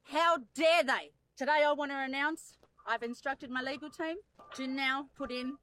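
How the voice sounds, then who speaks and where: Woman, impassioned statement, high bright voice, faint noise behind. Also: steady tone, moderate pace. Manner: urgent, indignant, rallying. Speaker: activist.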